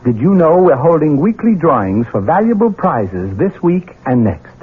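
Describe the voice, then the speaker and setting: An American man delivers a scripted radio promotion. His voice is mid-pitched and very rough, with lively swings. The seventies broadcast sounds thin and muffled. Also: normal speed. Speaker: radio announcer.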